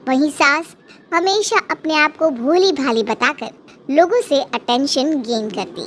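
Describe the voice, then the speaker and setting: A woman's voice: high voice, measured pace, fairly bright tone, slight background noise. A woman narrating a scripted moral story for children as a storyteller.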